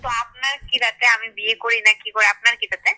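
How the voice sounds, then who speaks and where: A woman's voice: a little high, steady tone, fast talker, pushed and bright. A woman arguing on a telephone call, curt, indignant and challenging. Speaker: prank call recipient. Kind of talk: phone argument. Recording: telephone call with faint noise.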